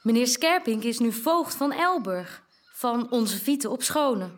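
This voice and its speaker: A woman acts a practical, outspoken mother in a historical audio drama. Her voice is fairly high, with a frank, expressive and chatty delivery. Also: slow. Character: down-to-earth, outspoken mother of a medieval household.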